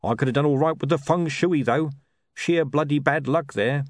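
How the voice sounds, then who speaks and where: A man's voice: on the high side, moderate pace, no room sound, clean studio sound, voice pushed up, lightly acted. A man voicing a gloomy, down-on-his-luck sausage hawker in an audiobook, with colloquial, lamenting salesman's patter. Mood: despondent. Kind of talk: audiobook character voice.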